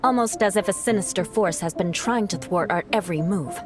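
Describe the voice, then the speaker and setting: A woman's voice: mid-range voice, brisk, natural voice. A woman voicing a formal, precise young adventurer in a video game. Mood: composed. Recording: game audio with music.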